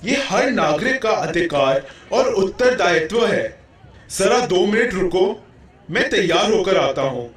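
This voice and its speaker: Man playing a high-pitched bossy lazy husband, echoey. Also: sing-song, measured pace. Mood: conceding. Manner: theatrical, bossy. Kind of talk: puppet show dialogue. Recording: very echoey hall, distant mic.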